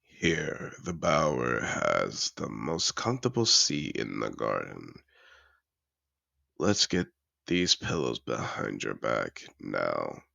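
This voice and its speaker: A man playing a seductive, possessive dragon in human disguise in an intimate fantasy audio roleplay, teasing and courtly in a slow, gravelly murmur. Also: bright, forceful tone, no room sound, exaggerated voice. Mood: soothing, apologetic. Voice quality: very deep, gravelly. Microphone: close, dry microphone.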